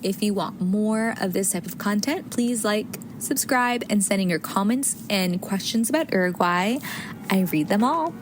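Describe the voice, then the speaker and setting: A woman's voice: mid-range voice, normal speed. A woman from the United States in her thirties, hosting a casual solo podcast, chatty with many fillers and restarts. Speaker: podcast host. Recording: audible background noise.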